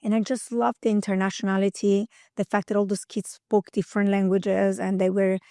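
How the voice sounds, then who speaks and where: A woman's voice: medium pitch, little pitch movement, a little fast, mellow, no room sound, studio quality. A woman around fifty with an Italian accent, a guest in an interview, talking energetically and chattily and laughing often. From Italy. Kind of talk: interview conversation.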